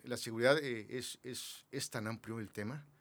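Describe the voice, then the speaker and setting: A man's voice: mid-range voice, fairly even intonation, normal speed, fairly bright tone. A man in his sixties, from Mexico City, talking as a guest in a casual interview with a rambling, loose delivery. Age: 60s. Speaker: guest, businessman.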